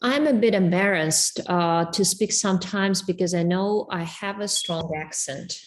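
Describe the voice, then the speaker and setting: Woman student with a lowish voice. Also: measured pace, a little hiss or hum. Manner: reads sentences aloud. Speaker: language student.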